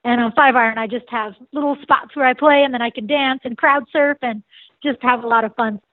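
A woman in her forties talks with a nasal, slightly high voice, bubbly and quick to laugh. She sounds like she is on a tinny phone line, dry and close. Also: fairly even intonation, no echo. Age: 40s. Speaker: singer and saxophonist. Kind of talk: casual interview answers.

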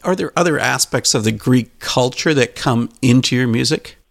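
A man's voice: mid-range voice, moderate pace, vocal fry. A man hosting a podcast, asking interview questions in an easygoing, conversational way. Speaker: podcast host.

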